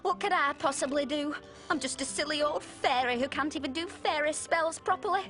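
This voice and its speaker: A woman's voice: high-pitched, fairly quick, a bit bright, soft background music. A woman voicing a self-pitying but kindly old fairy godmother in a cartoon, huffy and fussy.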